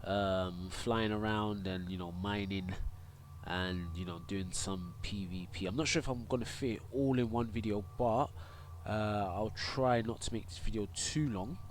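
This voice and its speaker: A man's voice: on the low side, steady tone, measured pace, slight background noise. A young man with a cold, congested, casually walking through a game tutorial full of fillers and restarts. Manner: rambling, many fillers and restarts. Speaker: gaming YouTuber and streamer.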